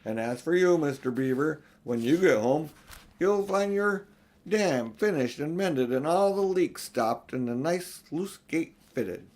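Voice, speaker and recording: A man playing a big, solemn, kindly old Father Christmas, speaking in measured, emphatic pronouncements for a storybook. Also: a little high, expressive, darkish tone. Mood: benevolent.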